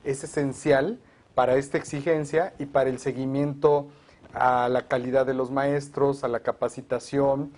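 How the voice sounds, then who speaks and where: Man, education advocate, measured, explains step by step, mid-pitched voice. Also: level tone, a little mellow. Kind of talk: televised discussion.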